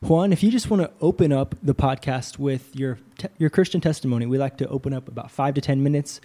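A male podcast host with a mellow, warm voice opens with an earnest prayer and then asks his guest questions. He speaks slowly and plainly. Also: mid-range voice. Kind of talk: prayer and interview.

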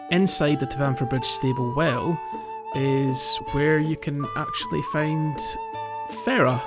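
A man's voice: mid-range voice, highs cut off. A man co-hosting a gaming podcast, chatting casually in a rambling, jokey way. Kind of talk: casual chat about a video game.

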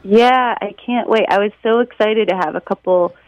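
An American woman from Detroit, a musician, answering interview questions in a casual, animated storytelling way with a creaky voice. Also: medium pitch, moderate pace, no room sound, muffled recording. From Detroit, United States. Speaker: musician and songwriter being interviewed.

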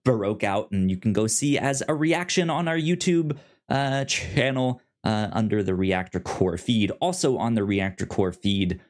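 A man's voice: fairly low pitch, animated, measured pace. Stammering man hosting a podcast. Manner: stammers, repeating sounds and words. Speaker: podcast host. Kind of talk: casual podcast chat.

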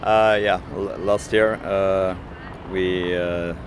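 A man's voice: low voice, level tone, takes it slowly. A young man being interviewed outdoors on a city square, answering casually and hesitantly with many fillers. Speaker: interviewee, bicycle courier and union organizer. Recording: outdoor street noise. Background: street noise.

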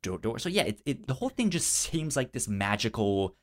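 Halting, stuttering man chatting about a film. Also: mid-range voice, very animated. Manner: halting, stuttering delivery with repeated syllables. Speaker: podcast co-host. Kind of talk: casual film discussion.

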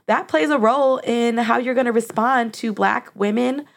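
A woman's voice: a little high, normal speed, gravelly. A woman therapist co-hosting a casual discussion, speaking frankly and earnestly and stopping to clarify her points for listeners. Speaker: therapist and co-host.

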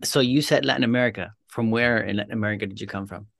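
Dry-voiced podcast host, friendly. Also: male voice, lowish voice, fast, creaky, fairly bright tone, dry recording. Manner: friendly, rephrases questions. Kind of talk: casual interview hosting.

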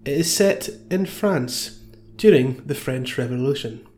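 A man's voice: medium pitch, expressive, a little slow, darkish tone. A man speaking casually as a panelist on a comedy game show podcast. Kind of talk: casual comic banter.

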